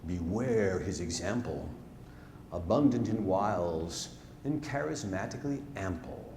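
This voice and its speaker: A male storyteller performs a rhyming ballad poem live in a theatre. His delivery is deliberate and dramatic, moving animatedly from raised cries to hushed lows. The hall rings with long reverb, and there is some noise in the recording. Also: animated. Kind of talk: theatrical rhyming verse recitation on stage.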